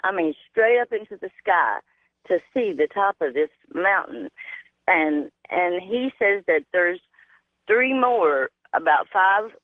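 A woman caller, talking casually and haltingly over the telephone, repeating herself for emphasis. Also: lowish voice, expressive, no room sound. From Virginia mountains, United States. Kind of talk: casual anecdote on call-in radio. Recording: tinny narrowband phone line.

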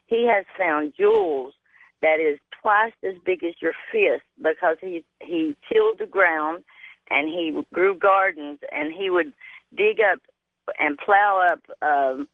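Call-in radio caller, woman from the Virginia mountains, speech, halting but emphatic, narrow dry phone audio. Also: on the low side, no room sound. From Virginia mountains, United States. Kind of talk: casual anecdote on call-in radio. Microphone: telephone. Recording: tinny narrowband phone line.

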